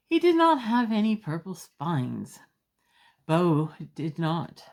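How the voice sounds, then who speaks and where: Unhurried, low-pitched woman reading a picture book. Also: vocal fry, warm tone, slightly rough. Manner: simple, emphatic phrasing with small asides to young listeners. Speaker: children's storyteller. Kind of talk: expressive picture-book read-aloud.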